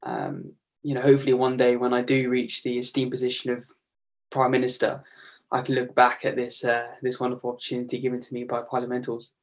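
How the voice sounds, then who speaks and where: A man's voice: medium pitch, fairly quick. Wry young male student. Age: young adult. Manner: wry, playfully grandiose. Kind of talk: casual discussion.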